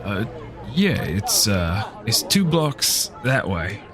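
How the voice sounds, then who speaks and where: A man's voice: on the low side, sing-song, slow pace. A man playing a breezy, drunken nightclub goer in an audio drama, with a loose, swaggering delivery. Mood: breezy, helpful. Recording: music and street crowd behind.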